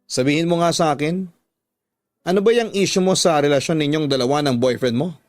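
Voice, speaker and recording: A man's voice: a little high, brisk, no echo, studio quality, faint music behind, natural voice. A man with a Filipino accent voicing a blunt, teasing young woman, the heroine's best friend in a radio drama. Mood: teasing, incredulous.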